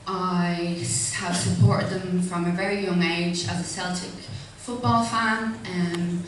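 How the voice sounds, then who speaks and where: A woman's voice: fairly low pitch, fairly even intonation, takes it slowly. A woman in her thirties with a Dublin Irish accent, speaking informally and hesitantly, with many ums, as a candidate at a hustings. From Ireland. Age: 30s. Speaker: independent candidate. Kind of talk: speech at a hustings. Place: public hustings hall. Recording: echoey hall from far off with faint noise.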